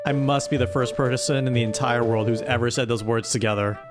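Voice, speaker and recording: A man's voice: medium pitch, level tone, fairly quick. A man hosting a casual livestream story game, reading aloud and commenting with playful mock-exasperation. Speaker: streamer hosting a chat story-writing game. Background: background music.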